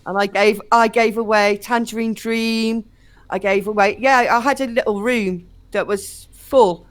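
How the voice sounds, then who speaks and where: A woman's voice: a little high, steady tone, measured pace. A woman telling personal anecdotes in a casual chat as a live stream guest.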